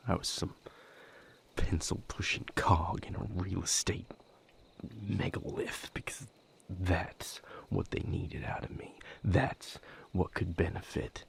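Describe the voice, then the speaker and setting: A weary vampire healer, acted by a man in an intimate audio roleplay. His voice sits very low and slips toward a breathy whisper. He speaks in halting, earnest pieces, reflective and wounded, taking his time over each one. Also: flat delivery, heavily acted. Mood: pained, earnest. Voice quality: breathy, gravelly, half-whispered.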